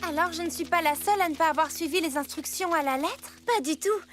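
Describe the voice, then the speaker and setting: An earnest young animal-girl from a children's cartoon. The voice sits extremely high and is eager and sincere, with loud music playing underneath. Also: fast talker. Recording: music behind the voice.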